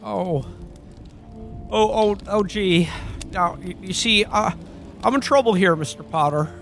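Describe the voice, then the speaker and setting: A man playing a part with a very high, stammering voice, as a desperate everyman in a parody radio play. He pleads in a folksy, flustered way. Music plays behind him. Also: measured pace, vocal fry, fairly bright tone, heavily acted. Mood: pleading, flustered. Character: desperate, pleading podcaster in old holiday-film style. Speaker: podcast host.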